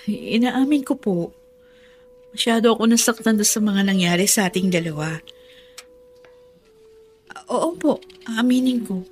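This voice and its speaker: A woman's voice: medium pitch, unhurried, long pauses, faint music behind, lightly acted. A woman playing a sincere, good-hearted young Filipino wife and mother in a radio drama. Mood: earnest, forgiving.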